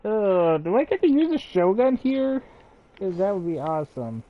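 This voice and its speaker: A man's voice: high voice, very animated, normal speed, dull audio. A man giving casual live gameplay commentary as a streamer, chatting as he plays. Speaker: game streamer. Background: game music.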